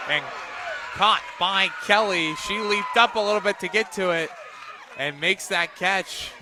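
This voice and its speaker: Higher-voiced man calling softball on radio. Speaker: radio play-by-play announcer. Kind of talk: live softball play-by-play commentary.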